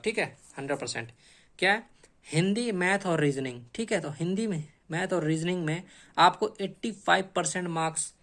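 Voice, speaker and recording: A man's voice: on the high side, fairly bright tone. A man explaining exam strategy like a coaching teacher, repeating key points emphatically and often checking in with short tag questions.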